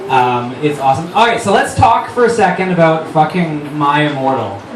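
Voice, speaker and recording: A man's voice: a little high, expressive, brisk. A man talking informally on stage in a loose, sweary way, presenting a dramatic reading of fan fiction. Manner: informal, filler-heavy, swearing. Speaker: writer-director reading on stage. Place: live stage before an audience at a fundraiser event. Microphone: stage microphone. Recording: noisy, echoey live room recorded from far off.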